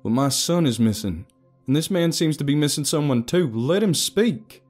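A man's voice: medium pitch, fast talker, mellow, faint music behind, voice pushed up, natural voice. A man playing a worried father in an audio story, earnest, urgent and insistent as he questions others. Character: worried, insistent father searching for missing son.

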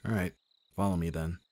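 A man playing a gruff, protective young bodyguard in an ASMR roleplay, with a husky voice and a sardonic, intimate delivery. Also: deep voice, darkish tone, a little hiss or hum. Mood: dry, sardonic. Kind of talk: ASMR roleplay audio drama.

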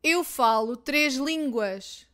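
A woman teacher with a European Portuguese accent, reading simple phrases twice each, clearly and deliberately, for learners. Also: sits high, expressive, a bit bright. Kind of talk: slow repeated phrase drills for learners.

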